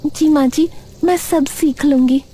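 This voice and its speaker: A woman's voice: high-pitched, fairly even intonation, a little slow, mellow, pitched above the performer's own voice. A woman voicing a shy, meek young bride in a cartoon, hesitant and deferential, eager to please. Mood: apologetic. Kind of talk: cartoon character acting.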